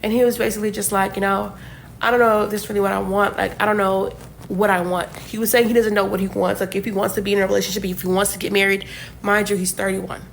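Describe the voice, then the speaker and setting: A woman's voice: medium pitch, little pitch movement, fast, creaky, slight background noise. A woman in her twenties telling a dating story in a casual, rambling way, full of fillers and asides. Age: 20s. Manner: chatty, many fillers and asides.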